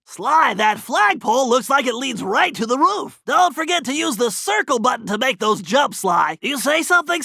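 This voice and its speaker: A man's voice: extremely high pitch, vocal fry, pushed and bright, dry recording, clean studio sound, heavily acted. A man voicing a brainy, cautious turtle hacker in a cartoon video game, with a nasal voice and precise, wordy, slightly fussy delivery.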